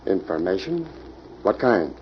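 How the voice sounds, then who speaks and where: A man's voice: deep voice, very animated, a little slow. A man playing a hearty, businesslike sawmill boss, speaking emphatically in an old radio drama. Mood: smooth, curious. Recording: muffled old radio recording, faint noise.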